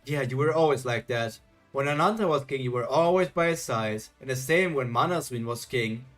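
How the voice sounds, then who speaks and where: A man's voice: mid-range voice, moderate pace, a bit bright, soft background music, natural voice. A man reading the lines of a scornful female demon king who taunts her rival. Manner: sneering, condescending.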